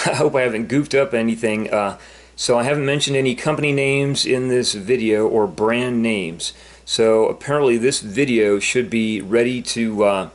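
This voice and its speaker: Hesitant, rambling male vlogger. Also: medium pitch. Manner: halting, repetitive, rambling. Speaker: vlogger demonstrating an app. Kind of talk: casual unscripted explanation to camera.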